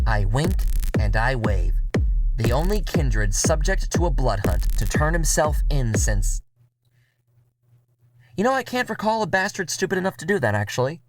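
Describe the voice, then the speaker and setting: A man narrating a first-person noir audio drama in a wry, cynical voice with drawn-out phrasing. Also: mid-range voice, poor audio. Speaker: narrator and writer. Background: music.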